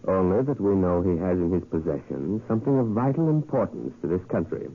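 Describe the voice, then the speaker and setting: A man's voice: sits low, expressive, fairly quick. An actor playing a businesslike male intelligence contact in a 1940s radio drama, matter-of-fact and clipped. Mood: businesslike, urgent. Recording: tinny, muffled vintage radio broadcast.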